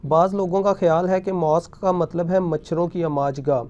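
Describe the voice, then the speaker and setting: A man's voice: a little high, fairly even intonation, darkish tone, muffled recording. A man reading out questions as the questioner in a talk.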